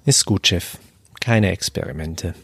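A man's voice: deep voice, unhurried, gravelly, a bit bright, breathy edge, no room sound, exaggerated voice. A man acting as a stressed restaurant cook near breaking point, subdued and earnest, in a German audio drama. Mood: restrained.

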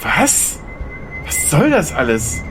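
A man's voice: fairly high pitch, wildly swooping pitch, slow, music in the background, exaggerated voice. Guy playing a confused sarcastic man on the run, radio play, exasperated, voice moves a lot. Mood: frantic.

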